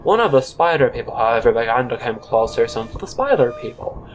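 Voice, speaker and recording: A man's voice: medium pitch, sing-song, brisk, slight background noise. A man narrating a comic audio drama in the first person, with a rambling, awkward, conversational manner.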